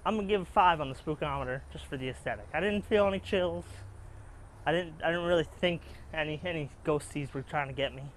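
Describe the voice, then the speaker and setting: A man's voice: on the high side, quick pace, a bit bright, slight background noise, poor audio. A young man hosting a casual vlog outdoors, chatting and joking on a handheld camera. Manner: chatty, joking, gives spookiness ratings. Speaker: vlog host. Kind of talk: casual chat. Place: outdoors in a sunny cemetery. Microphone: handheld camera on a gimbal.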